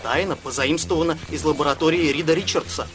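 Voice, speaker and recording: A cartoon hero, voiced by a man, in a dubbed series. The voice is fairly high, with clear music playing behind it. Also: fairly even intonation, quick pace, lightly acted. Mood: matter-of-fact boasting. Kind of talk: cartoon dub acting.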